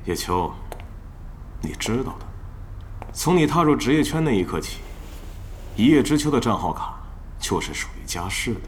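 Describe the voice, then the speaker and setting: A man's voice: mid-range voice, sing-song, moderate pace, long pauses, a little hiss or hum, natural voice. A man playing a stern, businesslike club manager in an audio drama, speaking in a cold, formal, official way.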